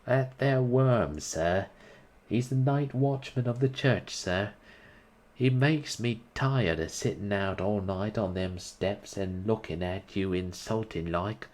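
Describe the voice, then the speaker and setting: A man's voice: on the low side, slow pace, a little mellow, pitched above the performer's own voice. A man voicing a blunt young Cockney servant who drops his aitches. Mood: blustering. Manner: dropped aitches, rough working-class speech.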